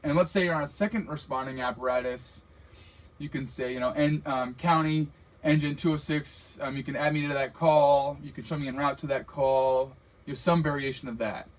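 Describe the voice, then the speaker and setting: A man's voice: on the high side, steady tone, moderate pace. An American man giving an informal fire radio training lecture, explaining in a casual, plain-spoken way as the trainer. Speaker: firefighter radio communications trainer.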